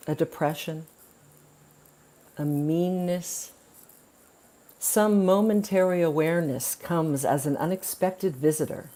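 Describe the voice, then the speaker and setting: A woman reading poetry aloud in a spoken-word style, with measured, deliberate phrasing and pauses between lines. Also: sits low, warm tone. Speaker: poetry reader and presenter.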